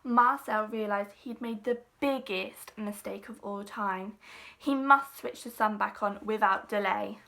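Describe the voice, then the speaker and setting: A woman's voice: medium pitch, a little slow, creaky, bright, forceful tone. A woman teacher reading a children's storybook aloud expressively, with dramatic emphasis.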